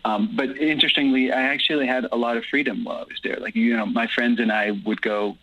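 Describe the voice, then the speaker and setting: A man's voice: mid-range voice, steady tone, fast talker, dull audio. A man who grew up in Guatemala and speaks Dutch as his first language, answering interview questions in easygoing, thoughtful English with no accent and plenty of ums. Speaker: guest. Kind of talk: relaxed conversational interview answers.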